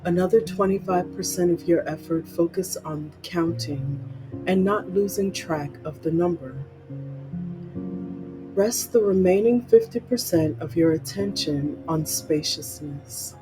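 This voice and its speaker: A meditation guide reading a scripted guided meditation in measured, instructional, spaced-out phrases. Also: mid-range voice. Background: plucked guitar music.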